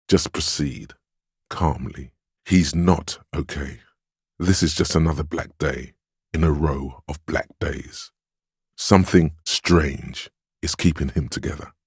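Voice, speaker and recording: An actor playing a stern, steadfast inner voice of willpower in a video game, giving measured, solemn counsel and urging composure. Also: male voice, extremely low pitch, a little mellow, husky, no room sound, studio quality, full character voice. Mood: firm, urging, then grave, consoling. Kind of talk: dramatic video game voice acting.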